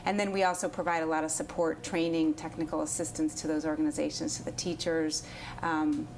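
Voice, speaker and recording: Low, flat-voiced woman director. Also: brisk, a little hiss or hum. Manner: informative, steady, with um and uh fillers. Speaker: nonprofit executive director. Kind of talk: interview guest explaining her program.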